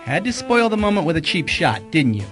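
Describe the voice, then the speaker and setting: A man's voice: a little high, sing-song, fairly quick, over music. Male private detective , played by an actor, self-assured and dryly wry, audio mystery drama.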